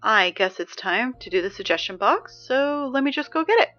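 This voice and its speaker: A woman's voice: on the high side, lively intonation, normal speed, bright, forceful tone, muffled recording, faint music behind. A woman playing a sarcastic teen girl announcer. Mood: exasperated. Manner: sarcastic, flustered, prone to outbursts. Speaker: school morning announcer. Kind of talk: comedic audio drama acting.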